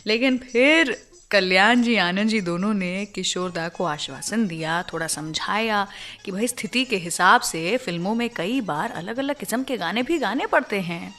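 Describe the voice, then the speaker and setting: A woman's voice: mid-range voice, normal speed, a bit bright. A woman hosting a radio show, telling stories about film songs in a conversational way and putting questions straight to listeners. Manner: addresses listeners directly with questions. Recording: music playing behind the voice. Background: background music.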